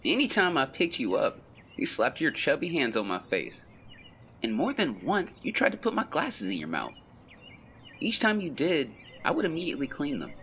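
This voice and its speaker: A man's voice: fairly high pitch, huge pitch swings, normal speed, sharp tone, slight background noise, exaggerated voice. An actor playing an ashamed, grieving young man of the 1920s, reading a confessional letter in an audio drama with solemn, literary phrasing. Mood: tender, fond.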